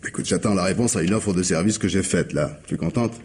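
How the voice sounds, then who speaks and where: Brooding middle-aged husband played by a man, French radio drama: low register, husky, proud, dry irony and bitterness. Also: quick pace, darkish tone, slight background noise, natural voice. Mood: guarded, sombre. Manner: bitter, guarded, ironic. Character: proud, brooding husband and former tropical forester.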